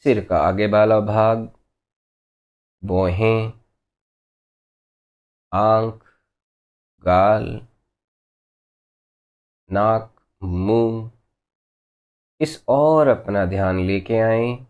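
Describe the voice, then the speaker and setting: A man guides a meditation in a lowish voice. His delivery is very slow, with short instructional phrases set apart by long pauses. He instructs gently and without any hurry. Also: narrow, tinny audio. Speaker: meditation teacher.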